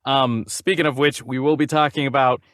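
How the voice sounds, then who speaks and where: A man's voice: mid-range voice, steady tone, brisk, fairly bright tone, dry recording, studio quality. A man co-hosting a casual movie news podcast, chatty and enthusiastic in a geeky way. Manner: chatty, enthusiastic self-described geek. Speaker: podcast co-host.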